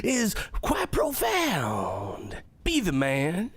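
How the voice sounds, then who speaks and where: An actor playing a sardonic, lovelorn late-night radio host in an audio drama. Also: male voice, high voice, wildly swooping pitch, very slow, fairly bright tone, slightly rough, no room sound, heavily acted. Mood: upbeat.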